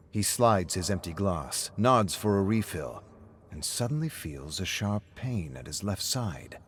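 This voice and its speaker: A man reads a dramatic story as its narrator. His voice is on the low side, delivering scripted prose in the present tense, and a faint noise sits behind it.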